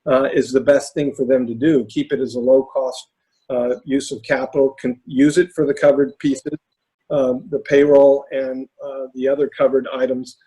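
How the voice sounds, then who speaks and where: A man whose voice is raspy and hoarse, heard over a video call. He talks in a halting way, filling gaps with ums and restarting sentences. His pitch sits in the middle. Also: level tone, warm tone, poor audio. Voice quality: raspy, lost voice. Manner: halting, many fillers and restarts. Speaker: panelist presenting case studies. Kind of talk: webinar talk.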